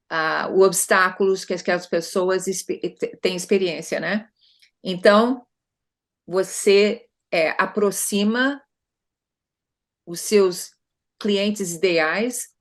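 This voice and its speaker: A middle-aged Brazilian woman consultant speaking conversationally in an interview, often adding tag questions and restarting her sentences. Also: medium pitch. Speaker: consultant guest.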